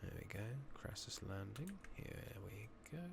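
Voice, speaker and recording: A man giving soft-spoken, partly whispered ASMR commentary on a game, muttering and thinking out loud, recorded close on a makeshift mic. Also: fairly low pitch, big pitch swings, measured pace, vocal fry. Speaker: game streamer narrating a playthrough. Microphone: close makeshift microphone. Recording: noisy makeshift mic with clicking.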